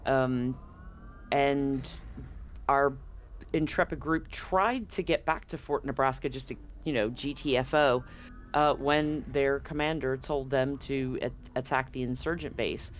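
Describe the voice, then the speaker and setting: A woman's voice: rumbling low, steady tone, unhurried. Woman, game master, chatty, rambling, restarts often, faint hiss. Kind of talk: recapping a tabletop game to a live audience.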